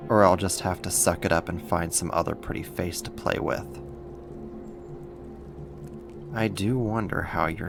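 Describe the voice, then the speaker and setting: A man's voice: deep voice, vocal fry, exaggerated voice. A man playing a teasing, possessive, menacing villain kidnapper in an ASMR roleplay, drawing out his taunts with sighs. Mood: sadistic, teasing. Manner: slow, drawn-out teasing with sighs.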